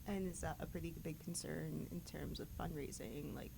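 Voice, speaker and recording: Student interviewer, woman, low and gravelly, relaxed probing questions. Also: normal speed, background noise. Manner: gently probing, conversational questions.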